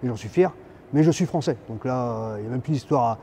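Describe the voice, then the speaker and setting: A man's voice: mid-range voice, brisk, warm tone, a little hiss or hum. A French man stating his position firmly and emphatically in an interview. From France. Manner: firm, emphatic.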